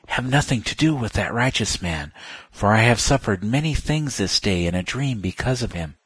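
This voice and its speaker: A man's voice: on the low side, lively intonation, normal speed, fairly bright tone, breathy edge, dry recording, pitched above the performer's own voice, full character voice. A man reading scripture aloud, voicing a governor's wife with an urgent warning.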